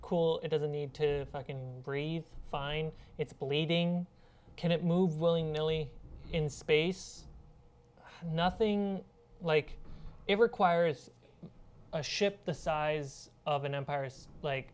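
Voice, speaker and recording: A woman's voice: sits low, fairly even intonation, takes it slowly, darkish tone, clear, resonant tone. A woman narrating a tabletop horror game as game master in an informal, sweary way. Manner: profane, informal.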